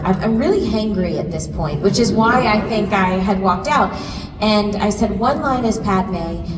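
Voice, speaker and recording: A woman voice actor on a convention panel, telling a lively story with quick laughs. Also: medium pitch. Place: large convention hall. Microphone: handheld mic, recorded from far off in the audience. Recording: echoey hall recorded from far off, noisy.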